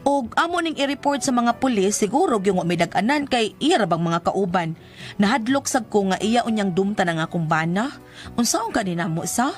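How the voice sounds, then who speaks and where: A woman plays an uneasy Visayan neighbour in a radio drama, trying to placate someone she fears. Her high voice is hesitant and pleading, with music underneath. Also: close to an everyday voice. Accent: Visayan Filipino.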